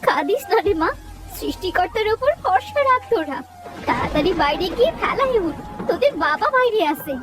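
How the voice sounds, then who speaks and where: A fretful village mother in a melodramatic cartoon tale, acted by a woman. Her voice is very high and swoops expressively, plaintive and tearful, with music behind it. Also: very animated, fairly quick. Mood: urgent. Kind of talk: melodramatic cartoon story acting.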